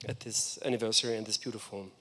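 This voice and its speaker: A man's voice: fairly low pitch, fairly even intonation, quick pace, a bit bright. A man whose first language is German, giving an enthusiastic, reflective architecture lecture. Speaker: guest lecturer.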